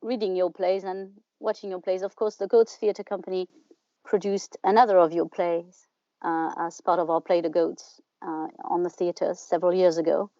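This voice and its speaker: A woman hosting a podcast interview in a measured, polite style. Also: on the low side, level tone, warm tone, no echo, muffled recording.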